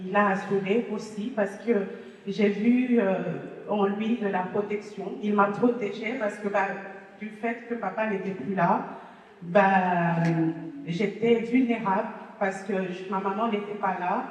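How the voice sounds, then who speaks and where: A woman, sharing a personal testimony at a church gathering and pausing often to find her words. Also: medium pitch, steady tone, highs cut off. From Congo. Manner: halting, many hesitations. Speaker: testimony speaker. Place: large church hall. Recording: echoey hall, voice from far off.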